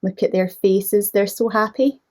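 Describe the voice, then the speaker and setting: Slow, gentle woman storyteller. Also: mid-range voice, fairly even intonation. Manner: gentle, inviting listeners to join in. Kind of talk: children's storybook narration.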